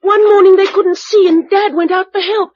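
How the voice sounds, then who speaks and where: A woman's voice: very high voice, steady tone, normal speed, a little mellow, dry recording, dull audio. An actress playing a brave, matter-of-fact nine-year-old English girl in a radio drama, plain-spoken and earnest. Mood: subdued, matter-of-fact.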